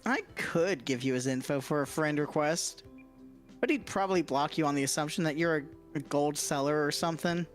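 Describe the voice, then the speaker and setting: A woman's voice: low-pitched, fairly quick, natural voice. A woman voicing a brash, teasing sidekick character in a visual novel, over music.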